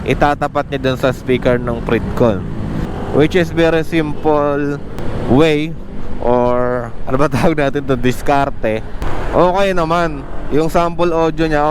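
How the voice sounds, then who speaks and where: A Filipino man talking casually as a motovlogger, mixing English terms into his Tagalog in a loose monologue. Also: medium pitch. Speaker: motovlogger, channel host. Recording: clear traffic and vehicle noise. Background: vehicle and street noise.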